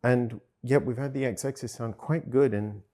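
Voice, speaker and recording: A man giving measured technical explanations in an interview, often pausing mid-sentence as he goes. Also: medium pitch, steady tone, warm tone. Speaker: audio company chief executive interviewee.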